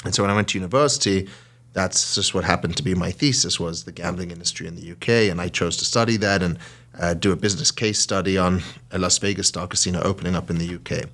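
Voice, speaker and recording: A South African-born man in his early forties with a British accent, a hotel and casino CEO telling his story in an animated, conversational way and acting out quoted lines. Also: low voice, fast talker. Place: small podcast studio.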